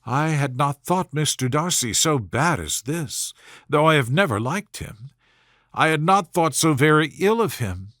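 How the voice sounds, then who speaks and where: A man reads as a spirited young Regency lady in a storybook, her voice lifted high. She is earnest and indignant, with formal, exclamatory phrasing. The sound is close and dry. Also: lively intonation, unhurried, a bit bright, no room sound. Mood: troubled disbelief. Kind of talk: storybook character voice in audiobook reading.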